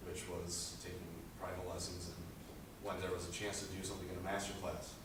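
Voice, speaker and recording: Teen boy, low monotone voice, earnest student presenter, distant mic in an echoey school room with noise. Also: fairly quick. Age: teens. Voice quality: low speaking voice. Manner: earnest, rambling with many "uh" fillers. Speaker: high school student presenting a senior project. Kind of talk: presentation speech.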